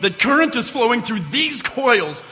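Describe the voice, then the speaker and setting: An obsessed radio-drama scientist played by a man declaims with eager self-assurance. His voice is high and very sharp, ringing through a reverberant hall. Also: animated, moderate pace, slight background noise, full character voice. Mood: proud, excited. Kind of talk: old-time radio drama acting. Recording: reverberant stage hall, faint music.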